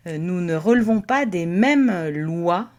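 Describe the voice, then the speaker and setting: A woman's voice: fairly low pitch, animated, slow pace, darkish tone. A woman from Alsace in France co-hosting a podcast as a pastor, talking conversationally and reflectively, rephrasing as she goes. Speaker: podcast co-host and Protestant pastor.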